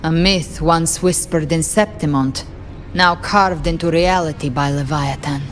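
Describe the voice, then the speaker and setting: A woman playing a solemn, knowing guide of lost souls in a fantasy game, speaking in a calm, measured way. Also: low-pitched, soft background music. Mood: solemn, explanatory. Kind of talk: dramatic fantasy game acting.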